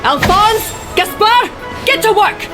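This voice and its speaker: A woman's voice: very high-pitched, animated, slow, over loud music, full character voice. A woman playing a demanding, imperious lady chef in a video game. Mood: commanding. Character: high-voiced, demanding woman chef giving orders.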